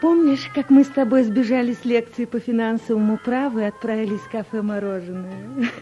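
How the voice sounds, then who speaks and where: An actress playing a teasing, coquettish, wistful old flame in a vintage radio play. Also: female voice, fairly high pitch, a little fast, mellow, faint music behind, close to an everyday voice. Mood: reproachful, nostalgic. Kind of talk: Soviet radio play acting. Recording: dull vintage 1970s radio recording.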